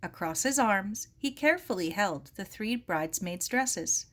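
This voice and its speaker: A woman narrating a scripted story as an audiobook reader, in a deliberate, expressive storytelling manner. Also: medium pitch.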